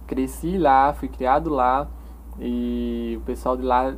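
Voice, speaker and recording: Halting young man with a Paraíba accent. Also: medium pitch, fairly even intonation. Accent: Paraíba Northeastern Brazilian. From Paraíba, Brazil. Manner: halting, many restarts, proud and upbeat. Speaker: interview guest, page owner. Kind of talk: casual podcast interview.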